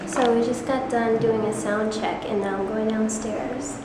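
A young woman talks into a handheld camera, chatty and exclaiming at everything around her. Her voice is fairly high and she speaks slowly, as if she is losing it to a cold. Loud road and outdoor noise runs under her speech. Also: fairly even intonation. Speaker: touring musician vlogger. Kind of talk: casual handheld vlog. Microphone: handheld camera mic.

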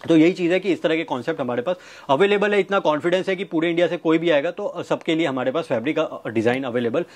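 A man in his thirties giving a sales pitch to camera, listing things with repetitive emphasis. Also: fast, darkish tone. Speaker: fabric manufacturer's representative presenting stock. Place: fabric showroom indoors. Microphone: clip-on wireless lapel mic.

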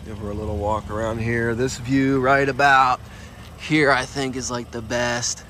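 A young man with a stuffed-up nose, filming his car outdoors on a phone and thinking aloud in a relaxed, rambling way. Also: mid-range voice, unhurried, a little hiss or hum. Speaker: car vlogger. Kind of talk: casual hands-on car vlog. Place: outdoors on a residential street and at a gas station. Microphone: handheld phone camera.

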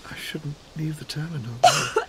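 A man's voice: on the high side, moderate pace, bright, forceful tone, slight background noise. An actor playing a timid, dutiful, rule-bound man, hesitant and formal, in an audio drama. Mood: nervous, reluctant.

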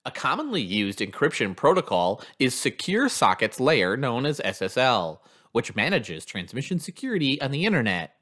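A man's voice: mid-range voice, very animated, normal speed, hardly any pauses, a bit bright. A man reading a scripted instructional narration in an explanatory manner as a lesson narrator.

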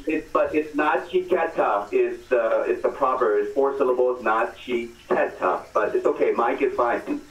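A man's voice: medium pitch, unhurried, few pauses, dull audio. Man in his forties calling in, hesitant, sounds distant and roomy. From born in Korea, grew up in America. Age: 40s. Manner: hesitant, searching for words. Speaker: caller. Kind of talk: casual phone-in chat. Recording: distant, echoey call-in line.

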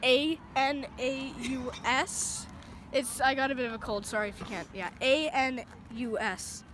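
A woman's voice: sits high, steady tone, a little slow, fairly bright tone. A woman prank-calling a scammer, playing dumb and then mocking him. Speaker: prank caller baiting a scammer. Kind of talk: prank phone call. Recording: noisy phone call.

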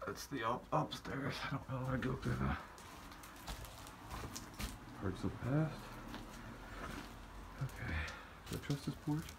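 A young man vlogging as he explores an abandoned house, talking to his viewers in a hushed, wary way on a handheld phone. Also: mid-range voice, unhurried, creaky, long pauses. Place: abandoned house, indoors and outdoors. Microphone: phone camera held in hand. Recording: loud background noise.

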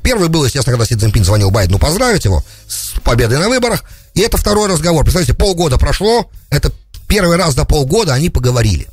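A man's voice: a little high, very animated, rapid-fire, husky, no room sound. A middle-aged man giving off-the-cuff political commentary as a radio host, close on a studio mic. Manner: peppers speech with English terms. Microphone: close studio broadcast mic on boom arm.

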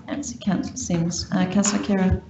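Brisk woman chairing a council meeting. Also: lowish voice, flat delivery, lo-fi sound. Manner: brisk procedural. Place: large formal council chamber. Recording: echoey chamber with some noise.

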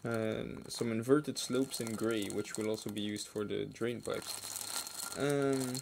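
A man with a creaky, fry-laden voice talks slowly through a casual hobby unboxing. He is hesitant and offhand, trailing off into asides. Plastic crinkles and clicks over faint background noise. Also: on the low side, steady tone. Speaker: hobby builder and video host. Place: indoors at a wooden table. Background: clicks and crinkling plastic bags.

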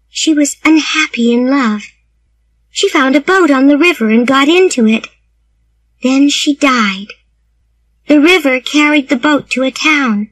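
A woman voicing an eager, dramatic young girl in a storybook reading, pitched high and full of emotion. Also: no echo, low-quality recording.

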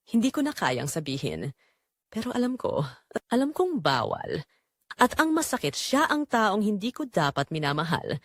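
A woman narrates a scripted first-person drama with measured, emotive delivery. Her medium-pitched voice moves expressively up and down, and the recording is dry and close. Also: no echo.

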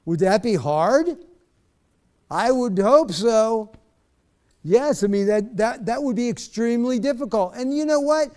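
High-voiced pastor leading a bible study. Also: male voice, expressive, unhurried, dark tone. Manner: conversational, repeats words while searching, chuckles often. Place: church stage.

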